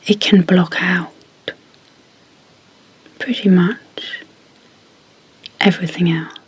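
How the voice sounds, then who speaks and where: A woman speaking in a soft, breathy whisper as an ASMR sleep hypnosis guide, deliberately and in short phrases with pauses. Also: lowish voice, fairly even intonation. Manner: slow, deliberate, pausing between short phrases.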